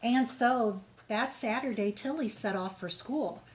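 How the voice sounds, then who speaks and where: A woman reading a children's storybook aloud in an expressive, measured way, as if to young pupils. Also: on the high side.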